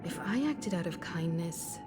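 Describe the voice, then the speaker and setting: An actress playing a thoughtful young woman traveler, speaking softly and pondering. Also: on the low side, lively intonation, unhurried. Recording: game music playing behind.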